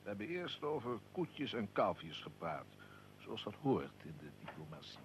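An actor playing a shrewd Serbian army colonel in a radio drama, courtly and insinuating with a feigned casualness. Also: male voice, lowish voice, sing-song, moderate pace, slight background noise. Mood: confiding recollection. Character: shrewd Serbian army colonel, smooth conspirator recalling his plot.

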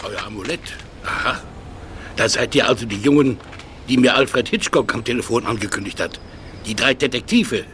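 A man playing a learned, absorbed professor in a German audio drama, musing and explaining with thoughtful pauses. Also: mid-range voice, expressive, a bit bright, slight background noise, lightly acted. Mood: musing, curious. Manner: musing, lecturing, hesitant pauses. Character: elderly learned male language professor, absorbed and astonished.

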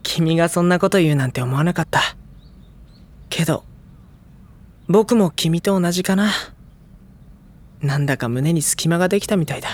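An actor playing a gentle, earnest high school boy who speaks and narrates sincerely in an audio drama. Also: moderate pace, long pauses, natural voice. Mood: earnest, warm.

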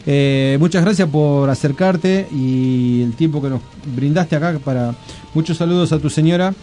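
Easygoing radio host, mellow voice. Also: male voice, a little high, moderate pace, faint music behind. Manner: easygoing, chatty. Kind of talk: casual radio chat.

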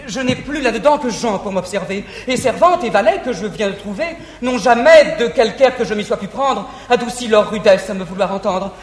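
An actor playing a naive, lovestruck young gallant, confiding and effusive, in classical French stage verse comedy. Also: male voice, high voice, brisk, hardly any pauses, lightly acted. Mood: eager, confiding. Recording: 1950s theatre recording, echoey hall, faint hiss.

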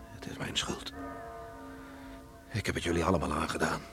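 A grieving, guilt-laden father in a radio drama. His voice is low and creaky, solemn and halting, and very slow, with music behind. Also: flat delivery. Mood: broken guilt. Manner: solemn, halting, heavy-hearted. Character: grieving, guilt-ridden stubborn father from a seaside village. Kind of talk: Dutch radio play acting.

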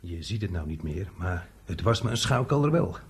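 A man acting an earthy, talkative, hot-tempered working man in a radio play, crude and emphatic, with a husky voice. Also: deep voice, very animated, brisk, full character voice. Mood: wry storytelling.

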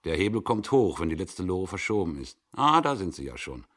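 Man as folksy older signalman, low-pitched. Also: very animated, fairly quick, fairly bright tone, heavily acted. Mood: genial, chatty. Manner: folksy, chatty. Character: good-natured older signalman, chatty and easygoing. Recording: 1960s radio production.

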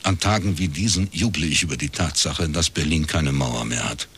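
A man's voice: rumbling low, moderate pace, a bit bright, slightly rough, full character voice. A man playing a cynical middle-aged private detective who narrates dryly and laconically in a radio crime drama. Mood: wistful, dry. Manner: dry, laconic, short clipped sentences.

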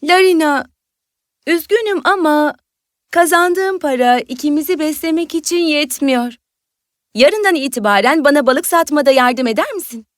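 A woman playing a gentle, patient young elder sister in a children's fairy tale, speaking earnestly. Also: sits high, moderate pace, dry recording, clean studio sound, close to an everyday voice. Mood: worried, pleading.

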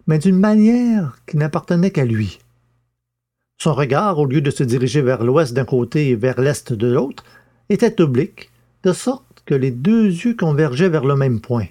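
A man's voice: a little high, warm tone. A man reading scripted audiobook narration as the presenter and narrator.

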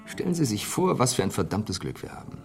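An actor playing a chatty, gossipy hotel bartender in a radio play, with a confiding, expressive way of talking. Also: male voice, fairly low pitch, a little fast, gravelly. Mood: excited, confiding. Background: soft plucked guitar music.

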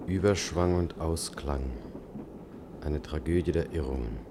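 Deep-voiced, earnest young companion. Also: male voice, unhurried, background noise. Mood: solemn reading. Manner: solicitous, earnest. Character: concerned, guilt-ridden young male screenwriter companion. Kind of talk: German radio drama acting.

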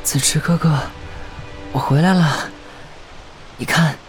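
A man's voice: a little high, steady tone, slow pace. An actor playing a devoted young male fox spirit in a costume fantasy drama, speaking earnestly and tenderly. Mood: eager. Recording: music under the dialogue.

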